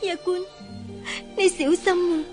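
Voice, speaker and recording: Very high-voiced anxious young wife. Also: female voice, fairly even intonation, slow pace, darkish tone, soft background music. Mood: anxious, pleading. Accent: Hong Kong Cantonese. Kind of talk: radio drama acting.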